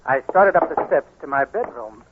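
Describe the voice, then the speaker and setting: A man's voice: on the high side, expressive, normal speed, lightly acted. Guy acting as tormented blind man, old time radio drama, tinny hissy recording, very dramatic. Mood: brooding, tense. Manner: dramatic, brooding first-person delivery. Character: anguished blind young man, restless and despairing. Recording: muffled, noisy 1940s radio recording.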